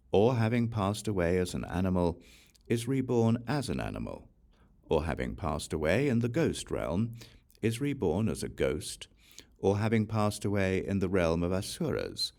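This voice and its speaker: A man narrating a scripture audiobook in a measured, deliberate and formal manner. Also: sits low, creaky, darkish tone. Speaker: audiobook reader.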